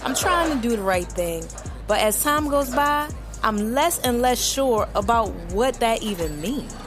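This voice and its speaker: A woman's voice: fairly high pitch, lively intonation, unhurried, music in the background. An actress playing a fed-up, suspicious wife and mother, talking to a friend in emphatic, slangy audio drama dialogue. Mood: weary, torn.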